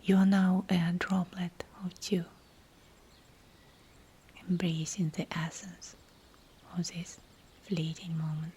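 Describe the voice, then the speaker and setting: A woman leads a guided visualization in a soft, breathy whisper. Her delivery is very slow and soothing, with a dark, mellow tone and hushed, spaced-out phrases. Faint nature ambience sits behind her voice. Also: on the low side, little pitch movement, slight background noise. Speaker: meditation guide. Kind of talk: guided visualization meditation, scripted narration.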